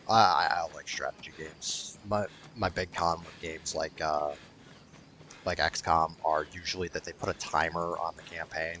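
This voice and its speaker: A man's voice: a bass voice, sharp tone, slight background noise. A man hosting a gaming video with casual, rambling, mildly profane unscripted commentary.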